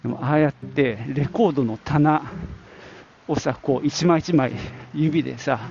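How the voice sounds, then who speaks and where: Male podcast host, middle-aged, reflective and conversational, gravelly edge, slightly dull lo-fi recording. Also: on the high side, unhurried, a little mellow, a little hiss or hum. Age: middle-aged or older. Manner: reflective, conversational, thinking aloud. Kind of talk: casual solo reminiscing. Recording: dull lo-fi audio, prominent music. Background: music.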